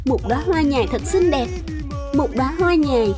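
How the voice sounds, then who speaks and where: A woman voicing an excitable, curious and playful young cartoon animal in a dub. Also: high voice, fairly quick, over music. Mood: excited. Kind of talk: cartoon dubbing.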